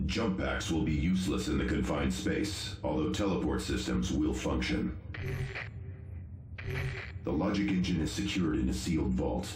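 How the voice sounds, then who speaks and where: A man's voice: very deep voice, normal speed, darkish tone, slight background noise. A man playing a solemn, scholarly space marine technician in a video game, giving formal and precise briefings. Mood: instructive, commanding. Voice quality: gravelly. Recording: roomy game voice over music.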